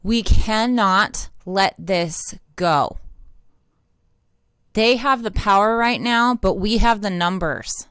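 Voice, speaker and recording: A woman's voice: medium pitch, slow pace, a bit bright, clean tone, dry recording, clean studio sound. An American woman giving impassioned, unscripted political commentary, blunt and indignant, swearing freely. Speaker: independent online political commentator and activist.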